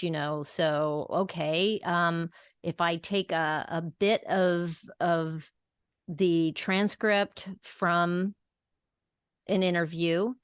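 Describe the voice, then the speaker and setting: Thoughtful low-voiced woman host. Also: clear, resonant tone, no echo, studio quality. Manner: thoughtful, halting, with many fillers. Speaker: podcast host. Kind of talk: casual podcast conversation.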